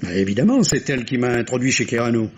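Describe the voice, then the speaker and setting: An actor playing a cool, impatient, middle-aged French surgeon in a formal, exasperated manner, in a 1950s-style radio drama. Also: male voice, mid-range voice, animated, quick pace, natural voice. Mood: irritated. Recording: dull, noisy old radio recording.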